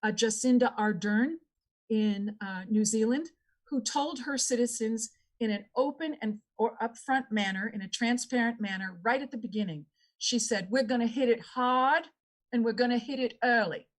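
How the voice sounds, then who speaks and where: A woman's voice: fairly high pitch, moderate pace. A woman answering as a candidate in a debate, making earnest, repetitive appeals to voters.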